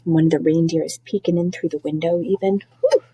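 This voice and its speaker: A woman's voice: lowish voice, fairly even intonation, a little fast, dark tone, slightly rough, no echo. A woman teacher reading a children's storybook aloud, expressively, with chatty asides that explain things simply to young listeners. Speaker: schoolteacher.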